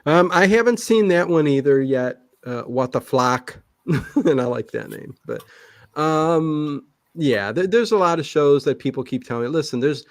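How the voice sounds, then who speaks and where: A man's voice: medium pitch, no echo. A middle-aged man chatting casually on a livestream as a show host, talking in a rambling, self-interrupting way.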